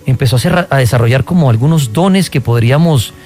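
A man's voice: mid-range voice, fast talker, a little mellow, faint music behind. A man hosting a conversational radio interview, speaking colloquially and often tossing in short questions.

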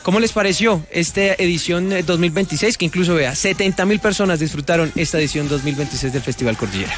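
A man's voice: fairly high pitch, fast, a bit bright, soft background music. A man reporting on a festival during a radio chat, talking with enthusiasm. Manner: enthusiastic, storytelling. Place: radio studio.

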